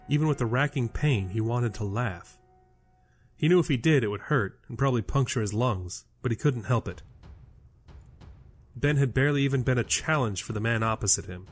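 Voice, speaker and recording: Man narrating dramatic fantasy over music. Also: medium pitch. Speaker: storyteller.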